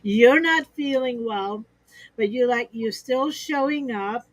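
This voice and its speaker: A woman with a fairly high voice talks through business partnerships in an upbeat, conversational way. She laughs easily and builds her points from examples. The sound is dry and close, as on a video call. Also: slow pace, no room sound, poor audio. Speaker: expert guest on a business livestream.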